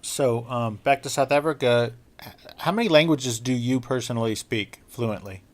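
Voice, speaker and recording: A man hosting an interview podcast in an easygoing, conversational way. He drifts into long, rambling anecdotes between his questions, in a voice of ordinary pitch. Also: normal speed. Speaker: podcast host. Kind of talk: casual interview chat.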